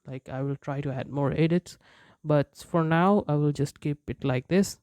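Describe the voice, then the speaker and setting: A young man talks casually to his viewers in English, which is not his first language, and he keeps circling back with fillers and restarts. His voice is a touch high for a man but warm and mellow in tone. The recording is dry and close, with no room sound. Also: normal speed, clear, resonant tone, no echo. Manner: rambling, frequent fillers and restarts, chuckles often. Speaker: YouTuber. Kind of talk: casual unscripted vlog chat.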